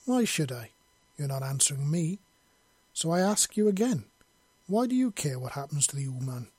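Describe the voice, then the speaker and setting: A mysterious, bodiless female spirit from an audio story, voiced by a man. The voice is raised and husky, questioning with taunting insistence, recorded dry and close. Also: fairly high pitch, animated, moderate pace, a little mellow, dry recording. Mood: probing, insistent.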